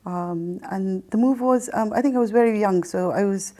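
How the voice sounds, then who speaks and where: A middle-aged woman from Kenya and England giving a reflective personal testimony in a hesitant, halting manner with pauses mid-phrase. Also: medium pitch, mellow. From Kenya, raised in Mombasa and then England. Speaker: interview guest telling her life story.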